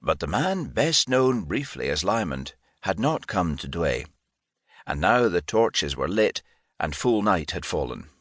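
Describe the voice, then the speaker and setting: A man's voice: low-pitched, big pitch swings, measured pace, fairly bright tone, dry recording, studio quality. A man reading historical fiction as a scripted literary audiobook narration.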